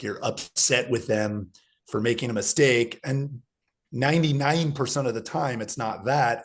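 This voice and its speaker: A hoarse man, a football coach, explaining his views in a thoughtful, casual conversation. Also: medium pitch. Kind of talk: casual video chat conversation.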